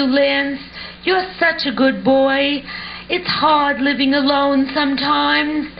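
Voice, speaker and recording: Woman playing a slow, high-voiced lonely widow. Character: lonely, grateful, gentle widowed woman neighbor. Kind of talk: amateur puppet show acting. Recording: muffled home recording with hiss.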